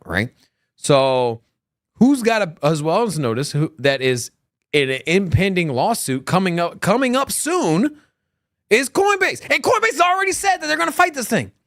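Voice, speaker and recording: A man's voice: on the high side, dry recording, studio quality. A man co-hosting a crypto news live stream. He talks casually, with lots of restarts and rambling asides. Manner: animated, rambling, many restarts. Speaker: co-host of a crypto news show.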